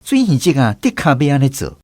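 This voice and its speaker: A man narrating a story in a gentle, nostalgic, unhurried way. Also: medium pitch, wildly swooping pitch, no room sound. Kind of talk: scripted storytelling narration, reminiscing. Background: clear background music.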